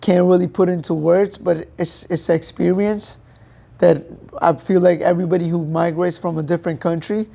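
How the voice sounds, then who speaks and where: Young male guest, from Pakistan, native Urdu speaker; gravelly, dark tone, plain and unpolished delivery. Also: fairly high pitch. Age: young adult. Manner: plain, unpolished, thinks aloud with fillers. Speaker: guest, professional boxer. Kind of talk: interview answers.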